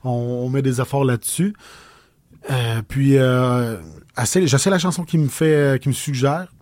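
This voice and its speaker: Halting Québécois man, dry sound. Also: mid-range voice, fairly even intonation, a little mellow, dry recording. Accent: Québécois French. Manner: hesitant, many pauses and restarts. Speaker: singer, interview guest. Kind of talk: casual storytelling in an interview.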